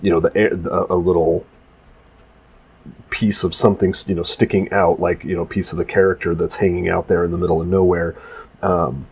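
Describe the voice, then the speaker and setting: Low husky guy, stuffy nose, rambly talking over his build footage. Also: little pitch movement, a little fast, darkish tone. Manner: rambling, full of restarts and fillers. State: congested from allergies, nasal. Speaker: maker explaining his prop build. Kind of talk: casual voice-over narration of a build video.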